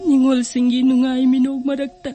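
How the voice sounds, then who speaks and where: A woman's voice: high-pitched, monotone, rapid-fire, dark tone, lightly acted. A woman acting in a radio drama as a bereaved younger sister, plaintive and mournful. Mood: tearful longing. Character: sorrowful bereaved younger sister mourning her elder brother. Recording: faint music behind the voice.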